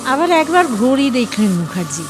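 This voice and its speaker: Female storyteller, scripted dramatic narration, suspenseful, expressive, higher-than-average pitch, faint background music. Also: moderate pace.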